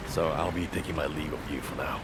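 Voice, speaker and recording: An actor playing a battle-worn samurai in a video game, blunt and world-weary, with Japanese words dropped in. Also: male voice, a bass voice, steady tone, a little fast, very noisy. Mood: weary, gruff. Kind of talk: video game cutscene voice acting.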